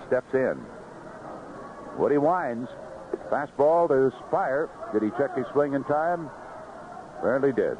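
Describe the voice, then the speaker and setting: An American man calling live baseball play-by-play on the radio. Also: mid-range voice. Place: ballpark broadcast booth. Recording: noisy, muffled vintage AM radio broadcast.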